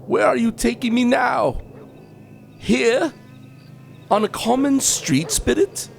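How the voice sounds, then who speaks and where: A man playing a frightened, remorseful old miser who pleads haltingly in a radio play. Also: extremely high pitch, creaky, a little hiss or hum, heavily acted. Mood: anguished, uneasy.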